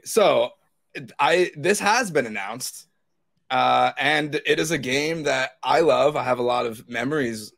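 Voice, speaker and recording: Casual male podcast panelist, medium pitch. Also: a bit bright. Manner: informal, swearing freely. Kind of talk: casual chat.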